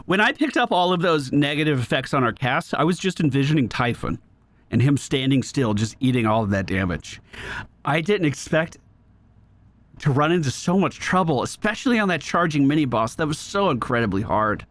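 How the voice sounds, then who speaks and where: A man doing live gaming commentary, excitable and exclamatory, swearing and rapidly repeating words. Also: on the high side, dry recording. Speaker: video game streamer.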